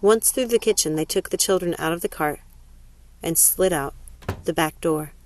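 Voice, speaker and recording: A woman reads fiction aloud as the narrator. Her voice is on the low side and the sound is dry and close, in an audiobook manner. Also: moderate pace, no echo. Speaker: narrator, story reader. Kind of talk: audiobook-style scripted narration.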